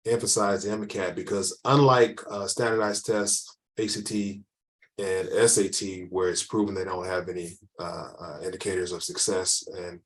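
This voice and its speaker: A man working as an admissions counselor, answering questions in a relaxed webinar and often restarting his sentences. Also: sits low. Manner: rambling, restarts often, many fillers. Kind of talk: informal webinar Q&A.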